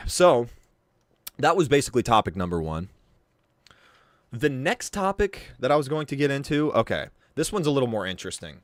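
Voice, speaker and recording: A young man with a deep voice giving crude, mocking commentary and swearing freely. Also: very animated, normal speed, pauses often, dry recording, studio quality. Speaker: commentary streamer. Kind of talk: casual drama commentary.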